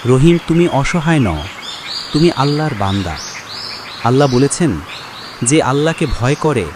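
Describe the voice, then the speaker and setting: A man playing a kind, respected village imam in a cartoon moral story, calm and reassuring in a preacherly way. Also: medium pitch, lightly acted. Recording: noisy track with birds and insects.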